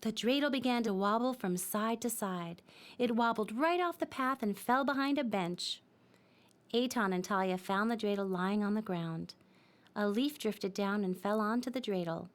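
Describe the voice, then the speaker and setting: A woman narrating a scripted children's story in a simple, expressive storytelling manner. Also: medium pitch, moderate pace.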